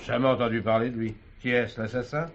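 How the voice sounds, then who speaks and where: A man's voice: mid-range voice, little pitch movement, fast, slight background noise, close to an everyday voice. A man plays a talkative, good-natured canteen keeper in a stage comedy-mystery. He chats colloquially and exclaims freely in a medium male register. The old recording is a little dull. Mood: chatty.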